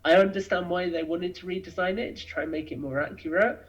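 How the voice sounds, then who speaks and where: A man's voice: high voice, fairly even intonation, fast, muffled recording. A man chatting as a podcast guest over a remote connection, explaining his thoughts fluently. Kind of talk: casual interview chat.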